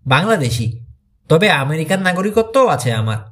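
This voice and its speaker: A self-assured teenage amateur detective played by a man narrating an audiobook, explaining himself calmly. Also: fairly high pitch, moderate pace.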